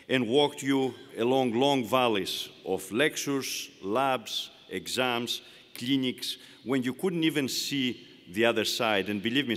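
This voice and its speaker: A man with a Greek accent giving a formal commencement speech, delivering measured phrases one at a time from a podium. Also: medium pitch, a bit bright. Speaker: university leader giving commencement address. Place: large hall. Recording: long reverb of a large hall.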